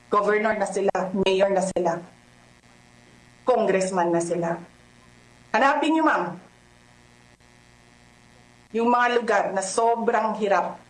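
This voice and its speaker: A woman with a Filipino accent making impassioned political remarks, emphatic and full of rhetorical questions. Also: mid-range voice. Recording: echoey room, electrical hum.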